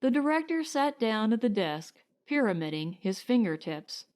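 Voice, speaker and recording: A woman's voice: on the high side, very animated, moderate pace, darkish tone. A woman narrating a short story as a scripted audiobook.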